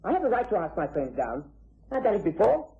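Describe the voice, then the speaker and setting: An actor playing an earnest, agitated young upper-class British nephew in a vintage radio drama. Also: male voice, sits high, wildly swooping pitch, fast talker, breathy edge, narrow, tinny audio, full character voice. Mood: pleading, defiant. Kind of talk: 1950s-60s British radio drama acting.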